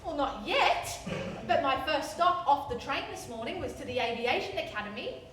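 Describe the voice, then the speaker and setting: An eager young woman, high-voiced, echoey stage. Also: expressive, moderate pace, a bit bright. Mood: excited, determined. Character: Alice, eager bold young woman with flying dreams. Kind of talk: live stage radio play acting. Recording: distant, very echoey stage, faint noise.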